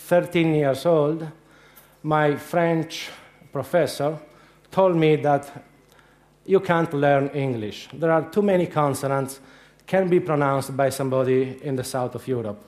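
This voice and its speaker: A man's voice: fairly high pitch, measured pace, a little mellow. A middle-aged man with an Italian accent giving a humorous conference talk, telling anecdotes with frequent hesitations. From Italy. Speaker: conference speaker. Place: large dark lecture hall.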